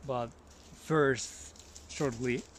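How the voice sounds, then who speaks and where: A man's voice: mid-range voice, fairly even intonation, extremely slow, a little hiss or hum. Finnish-accented man rambling in a forest. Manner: rambling, thinks aloud, easily sidetracked. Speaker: language learner vlogger. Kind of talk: casual talk to camera. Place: outdoors in a forest.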